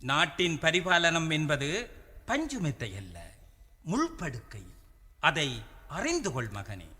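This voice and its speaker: A man with a Sri Lankan Tamil accent playing an anguished, fatherly royal elder in a radio drama, speaking in solemn, declamatory, drawn-out phrases. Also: a little high, huge pitch swings, bright, forceful tone, full character voice. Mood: grave pleading. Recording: long hall-like reverb.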